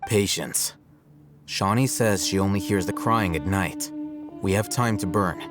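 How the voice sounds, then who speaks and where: A young scholar-warrior with a low, deep voice. He is calm, stern and deadpan, using few words in an unhurried way. Game music plays clearly behind him. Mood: unbothered. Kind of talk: video game character acting.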